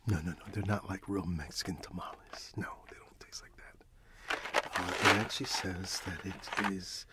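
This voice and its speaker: Middle-aged man, low-pitched, soft whispery voice, friendly chatty shopkeeper, ASMR roleplay, close mic. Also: fairly even intonation, moderate pace. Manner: friendly, chatty, apologetic shopkeeper patter with repeated words. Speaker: ASMR roleplay performer playing a friendly candy shop owner. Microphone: close microphone.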